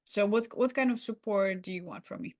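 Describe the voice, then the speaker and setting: Busy female manager played by a woman, workplace roleplay, evasive, halting, breezily dismissive delivery. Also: medium pitch, darkish tone, natural voice. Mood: deflecting, placating. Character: busy, distracted female boss deflecting a needy employee.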